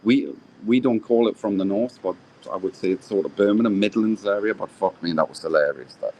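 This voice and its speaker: A man's voice: fairly low pitch, brisk, a little mellow, rough recording. A British man chatting casually on a live stream, easygoing, often trailing off and restarting his sentences. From Britain. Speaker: stream guest.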